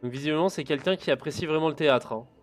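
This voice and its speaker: A man's voice: a little high, rapid-fire, soft background music. Chatty man commenting on his gameplay. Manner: chatty, relaxed, talks to himself. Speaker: let's play host.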